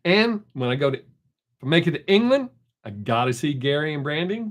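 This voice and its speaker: A man's voice: on the high side, big pitch swings, a little fast. A middle-aged man, hosting a casual live stream and chatting with viewers. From American South. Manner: chatty, rambling, reads chat aloud. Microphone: desk microphone.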